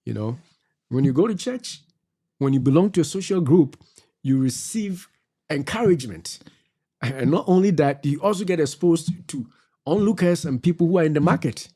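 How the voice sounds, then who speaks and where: A man's voice: fairly high pitch, expressive, normal speed, mellow, breathy edge. A middle-aged man gives faith-based advice on a talk show. He exhorts his listeners and repeats his key phrases like a preacher. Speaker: co-host.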